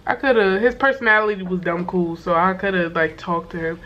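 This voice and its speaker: A young woman with a voice worn hoarse from sickness, telling a story to camera in a loose, slangy way full of fillers and swearing. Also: fairly low pitch, fairly even intonation, fairly quick, a bit bright, lo-fi sound, a little hiss or hum. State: hoarse from being sick. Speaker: vlogger. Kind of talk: casual storytime chat to camera.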